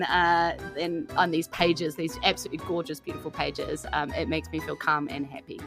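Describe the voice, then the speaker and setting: A New Zealand woman hosts a podcast in a loose, chatty style. Her voice is bright and crisp-edged, with a giggly, effusive manner. Music plays behind her. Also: medium pitch. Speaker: podcast host and game master. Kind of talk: casual chatty podcast hosting.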